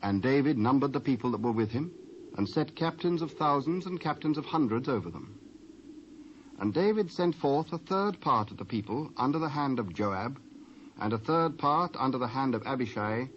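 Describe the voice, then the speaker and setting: A British man narrating scripture in a measured, scripted style with archaic English. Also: medium pitch. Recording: muffled cassette transfer with faint noise.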